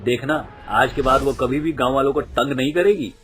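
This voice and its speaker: Man doing a young male adventurer, cartoon, curious, excitable, raised pitch, music behind. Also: normal speed, lightly acted. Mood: defiant. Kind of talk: cartoon story acting.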